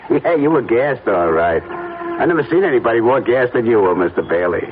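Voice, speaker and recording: An actor playing a falsely chummy small-time blackmailer photographer in an old-time radio drama, with folksy uneducated talk and oily friendliness. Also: male voice, medium pitch, animated, a little fast, close to an everyday voice. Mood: smug, needling. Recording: tinny band-limited radio recording, faint noise.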